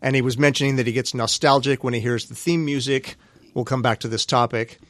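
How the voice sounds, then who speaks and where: A man's voice: mid-range voice, fairly even intonation, brisk, fairly bright tone, no room sound. Earnest man on a dry mic. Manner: earnest, hesitant with fillers. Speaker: podcast co-host. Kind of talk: casual chat.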